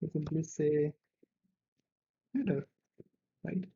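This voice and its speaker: A man's voice: fairly high pitch, fairly even intonation, slow pace, dark tone, breathy edge, highs cut off. A man giving an unscripted, step-by-step programming tutorial, thinking aloud as he works and often checking in with a short questioning tag. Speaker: coding tutorial instructor.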